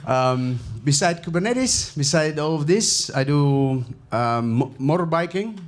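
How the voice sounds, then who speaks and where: A man's voice: fairly high pitch, expressive, measured pace. A man with an Italian accent answering panel questions on stage, hesitant and self-deprecating with many restarts. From Italy. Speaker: panel guest. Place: conference stage before an audience.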